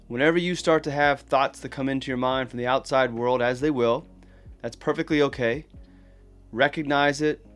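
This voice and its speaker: A man leading a guided meditation, giving gentle, plain-spoken, encouraging instruction with unhurried pauses. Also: medium pitch.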